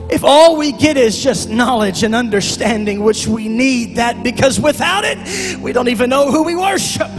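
Male pastor, high voice, fervent sung preaching cadence, handheld mic, long hall reverb, soft music underneath. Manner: quieter prayerful tone. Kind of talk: Pentecostal sermon and prayer. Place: large church stage.

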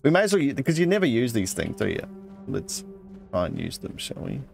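A man's voice: mid-range voice, big pitch swings, a little fast, vocal fry, a little mellow, soft background music. A man giving casual let's play commentary, muttering his thoughts aloud and reading out item text.

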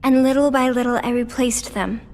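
A woman playing a timid, sorrowful, gentle teenage girl in a game cutscene, soft and hesitant. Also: fairly high pitch, steady tone, a little fast, big-room sound, lightly acted. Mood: remorseful. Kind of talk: animated game cutscene acting.

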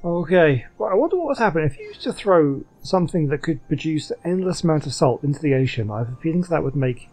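A man's voice: a little high, sing-song, fast talker, hardly any pauses, a little mellow, rough recording. A man hosting a gaming video with casual, chatty commentary and dry humour. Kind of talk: casual let's play commentary.

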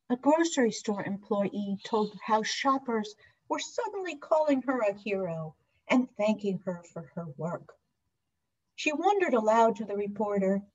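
Middle-aged woman deacon, slow deliberate sermon delivery, dull lo-fi webcam audio. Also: medium pitch, mellow. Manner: measured, reflective preaching. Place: home video call with virtual scenic backdrop. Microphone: webcam microphone on a video call. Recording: dull lo-fi video call audio.

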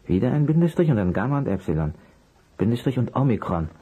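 A man's voice: medium pitch, sing-song, quick pace, dark tone, breathy edge. A man playing a polite, easily flustered private detective in a German audio drama, courteous and hesitant. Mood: calm, patient. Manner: courteous, hesitant, apologetic under pressure. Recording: noisy, clicky vinyl transfer.

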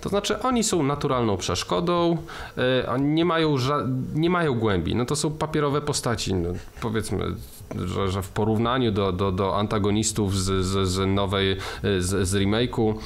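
A man's voice: lowish voice, moderate pace. A man co-hosting a film podcast, talking through his ideas with many restarts and fillers. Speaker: podcast co-host. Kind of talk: casual film discussion.

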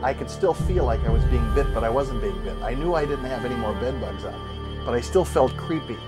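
A man's voice: medium pitch, animated, fairly quick. A middle-aged man telling a personal story in a documentary interview. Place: bedroom, indoors. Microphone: lapel. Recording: loud music behind the voice.

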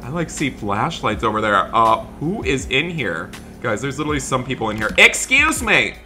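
A man narrates roleplay gameplay as a video host, in a bright, forward voice at a middling pitch. He is animated and exclamatory, addressing viewers directly. Music plays behind him. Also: normal speed. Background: background music.

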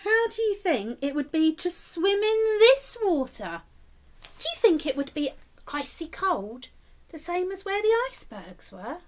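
A middle-aged woman reading a picture book aloud to young children, expressively and deliberately, prompting them with questions. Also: extremely high pitch. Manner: animated, slow and deliberate, prompting young listeners. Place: indoors, small room with decorated wall.

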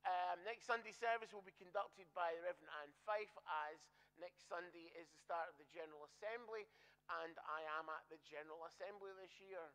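A man's voice: high voice, a little fast, fairly bright tone, low-quality recording. A man, a minister, giving the church notices in a chatty, joking way and correcting himself as he goes.

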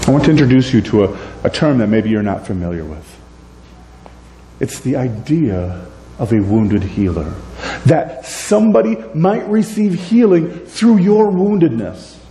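A man in his forties preaching a sermon in a church, animated and gesturing, moving between loud and soft. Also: medium pitch, measured pace. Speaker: pastor. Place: church sanctuary, indoors. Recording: echoey church room, faint hum.

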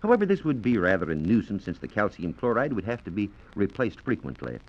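A deep-voiced American man explains science in a formal, scripted 1940s radio style. His pitch moves in a lively way through measured sentences. The sound is dull and band-limited, from an old transcription disc. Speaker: science reporter on a radio program.

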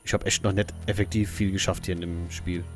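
A man's voice: low-pitched, little pitch movement, brisk. A man hosting a let's play, giving casual, playful gaming commentary and often muttering to himself. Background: faint game music.

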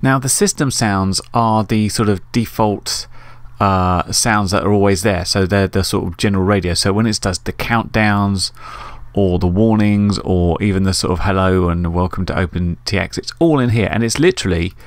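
A middle-aged man with a low voice talks through a how-to in a relaxed, conversational manner. He explains things informally as a tutorial host. The sound is dry, with very little room in it. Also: moderate pace, no echo. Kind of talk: casual tutorial narration.

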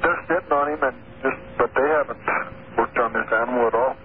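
Man in his forties, rancher, plainspoken, rambling, emphatic, phone-like radio sound, clear hiss. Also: medium pitch, a little fast. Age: 40s. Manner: plainspoken, rambling, emphatic, chuckles now and then. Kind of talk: recorded field interview.

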